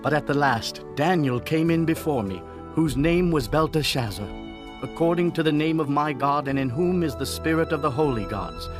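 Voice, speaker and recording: Male actor for imperious ancient monarch, scripture audio drama. Stately, declamatory, higher-set male voice, strong music bed. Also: animated, moderate pace, natural voice. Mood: solemn, troubled. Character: proud, commanding ancient Babylonian king. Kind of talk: dramatized scripture reading, archaic English.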